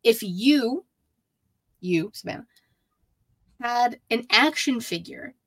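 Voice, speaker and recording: A woman's voice: on the high side, expressive, slow, dry recording. A woman co-hosting a podcast, chatting casually as a wrestling fan. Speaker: podcast co-host.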